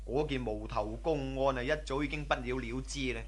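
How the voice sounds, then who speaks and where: An actor playing a humble, easily impressed casino underling in a radio drama, chummy and deferential. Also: male voice, medium pitch, moderate pace, husky, natural voice. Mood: doubtful, reassuring. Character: humble, eager casino underling, admiring younger male cousin. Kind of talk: Cantonese radio drama acting.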